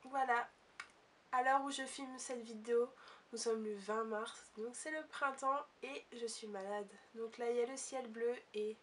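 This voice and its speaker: A young woman talks through beauty products in a chatty, hesitant way. Her voice sits high and sounds stuffy from a cold. She is a little distant from the microphone. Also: fairly even intonation, measured pace, a little hiss or hum. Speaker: beauty vlogger. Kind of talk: casual product review.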